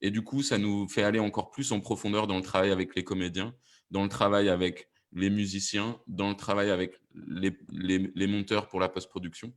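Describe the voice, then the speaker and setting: Deep-voiced, enthusiastic man. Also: steady tone, a little fast. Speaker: audio fiction producer on a panel. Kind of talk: panel discussion, explanatory talk.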